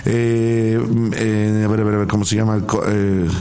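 Effusive Mexican pastor on the air. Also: male voice, lowish voice, little pitch movement, a little slow, mellow. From Puebla, Mexico. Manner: repeats words and phrases emphatically; effusive, devout, blesses listeners. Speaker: pastor hosting a live radio and TV broadcast. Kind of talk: casual live talk, greeting listeners and reading messages.

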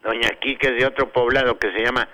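A man's voice: medium pitch, steady tone, fast talker, sharp tone, dry recording, low-quality recording. A man with a Mexican accent doing teasing comic banter as a show's sidekick. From Zacatecas, Mexico. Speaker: show sidekick.

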